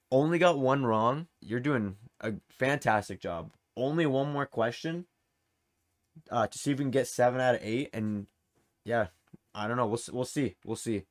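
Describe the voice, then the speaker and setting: A man hosting a gaming quiz in a casual, chatty, encouraging way. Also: mid-range voice. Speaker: quiz show host.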